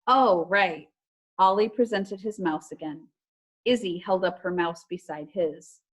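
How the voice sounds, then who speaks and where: A woman reading a children's story aloud like a teacher to a class, with animated dialogue and calmer narration, over a video call. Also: lowish voice, measured pace.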